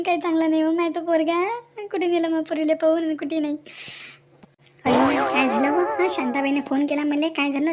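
A woman's voice: very high voice, darkish tone. A woman voicing a worried, folksy village woman in a cartoon family drama, with a Varhadi Marathi accent and a plaintive manner. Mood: distraught, lamenting, then alarmed, indignant.

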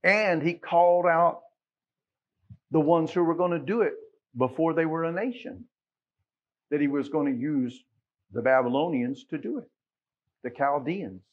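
Husky middle-aged American preacher. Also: male voice, on the high side, a little slow, pauses often, lo-fi sound. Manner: conversational, folksy, emphatic with rhetorical questions. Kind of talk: sermon. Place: church sanctuary, at a pulpit.

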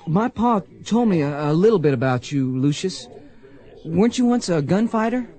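A man's voice: sits high, big pitch swings, moderate pace, mellow. An actor playing an earnest, plain-spoken blind young man in a western radio drama. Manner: plain-spoken, polite, emotional. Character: earnest blind youth of the Old West, grieving son.

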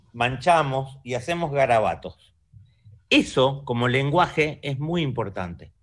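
A man's voice: medium pitch, fairly bright tone. A man in his fifties from Argentina with an Argentine Spanish accent, speaking reflectively as an artist guest in a conversational interview, often checking in with the listener. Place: room at home. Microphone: video call webcam.

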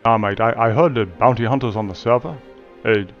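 A man's voice: medium pitch, fairly quick. A man playing a streetwise character in a voice-chat roleplay, slipping "mate" into nearly every line. His accent sounds put on, and he sounds a touch dull and lo-fi over faint music. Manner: streetwise, wheedling, ends lines with "mate". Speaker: player in a roleplay server.

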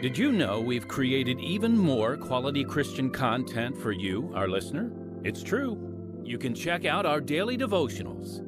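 Male announcer, scripted religious radio narration, animated intonation, mid pitch, clear music bed. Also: normal speed. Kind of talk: scripted narration with evangelistic appeal.

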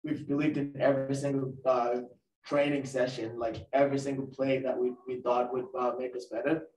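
A young male athlete speaks hesitantly, working out his answer. His voice is soft-edged and fairly monotone, picked up from a distance in a roomy space. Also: mid-range voice, moderate pace, slight background noise. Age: young adult. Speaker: college soccer team captain. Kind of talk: postgame press conference answers. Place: press conference room, indoors at a table. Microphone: distant camera mic, face mask on. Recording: echoey room, distant microphone.

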